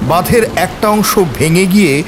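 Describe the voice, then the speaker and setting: A man's voice: high voice, brisk. A man narrating a scripted story in a theatrical, suspenseful way, with music and storm ambience behind.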